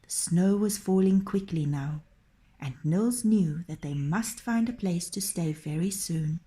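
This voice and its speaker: Slow, low-voiced woman telling a story. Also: dark tone. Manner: dramatic, deliberate. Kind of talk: expressive storybook narration.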